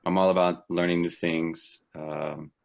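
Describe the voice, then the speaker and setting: Casting: upbeat guy in his twenties on a laptop video call, very low voice. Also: level tone, unhurried, gravelly. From Texas. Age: 20s. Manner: upbeat, chatty, with soft laughs. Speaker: guest. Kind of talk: casual video-call chat.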